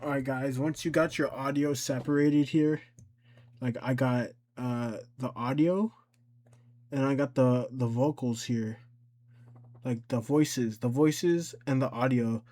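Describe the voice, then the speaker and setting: A man giving an informal step-by-step software tutorial, thinking aloud and somewhat hesitant as he goes. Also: medium pitch, steady tone, slow. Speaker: tutorial host.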